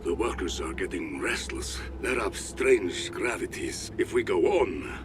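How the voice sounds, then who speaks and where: A man's voice: deep voice, expressive, unhurried, noisy background. An actor playing a deferential, fearful foreman in a game, pleading subserviently with his superior. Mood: anxious pleading.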